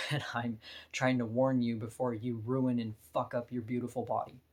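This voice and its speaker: A woman with a deep voice, talking bluntly and frankly in a candid personal vlog monologue, laughing now and then between points. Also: level tone, moderate pace, a little mellow. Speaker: vlogger.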